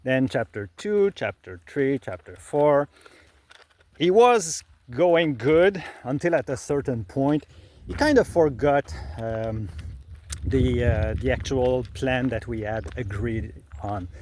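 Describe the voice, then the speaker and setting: A man's voice: medium pitch, lively intonation, slow pace, a little mellow, a little hiss or hum. A middle-aged man with a French accent, a native French speaker, explaining his process in casual talk with frequent fillers and restarts. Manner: hesitant, many fillers and restarts. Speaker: creator sharing his process.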